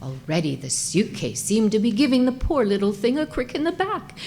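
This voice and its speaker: A woman's voice: a little high, wildly swooping pitch, normal speed, a little mellow, a little hiss or hum. A woman reading a comic short story aloud as its narrator, in a scripted storytelling style.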